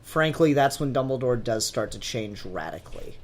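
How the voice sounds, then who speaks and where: Mid-pitched man, emphatic podcast talker. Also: lively intonation, moderate pace. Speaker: podcast co-host. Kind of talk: casual fan discussion chat.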